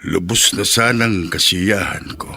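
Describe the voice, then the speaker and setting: An older Filipino father in a radio drama, affectionate and teasing with a melancholy streak. His voice is creaky and forward, and he talks slowly. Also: sits low, pushed and bright, heavily acted. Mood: wistful, heavy-hearted.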